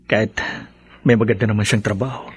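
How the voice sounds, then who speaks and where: A man's voice: lowish voice, fairly even intonation, brisk, husky, no room sound. An actor playing a weary, poor middle-aged father in a radio drama, plaintive and bitter but loving.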